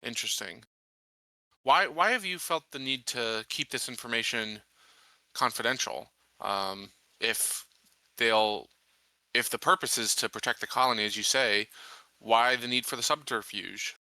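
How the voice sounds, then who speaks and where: Man playing a very bright, crisp, probing official. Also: medium pitch, dry recording. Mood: probing, reserved. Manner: formal, probing, deliberate. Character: formal, inquisitive, skeptical male corporate official. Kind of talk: improvised tabletop roleplay.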